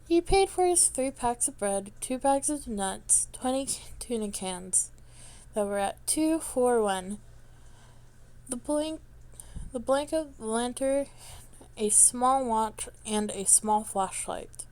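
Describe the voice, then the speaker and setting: Higher-voiced woman reading a story, haltingly. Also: animated, darkish tone. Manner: halting, stumbles over words and rereads. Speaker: amateur fan fiction reader.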